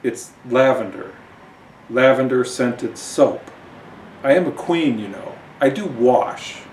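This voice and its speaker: Casting: regal, emphatic comic-book sea queen, done by a man, slow and haughty, distant mic. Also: mid-range voice, expressive, a little mellow. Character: haughty, gracious undersea warrior queen. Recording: roomy, distant mic, faint noise.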